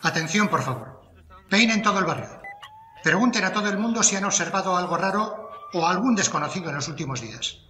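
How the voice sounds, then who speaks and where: A man performs a methodical police inspector in a crime audiobook, with a forceful, bright voice pitched a little high. He sounds commanding and orderly. The voice sits in a roomy echo with music underneath. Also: expressive, brisk, a little hiss or hum. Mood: authoritative. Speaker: actor in an audiobook. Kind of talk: dramatised crime audiobook dialogue.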